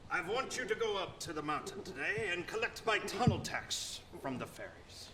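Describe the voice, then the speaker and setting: A man's voice: sits high, wildly swooping pitch, normal speed, vocal fry, pushed and bright, a little hiss or hum, full character voice. A man playing a menacing, commanding troll master in a fairy tale radio play. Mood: bossy, suspicious. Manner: commanding, threatening. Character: menacing male troll master, gruff and bossy.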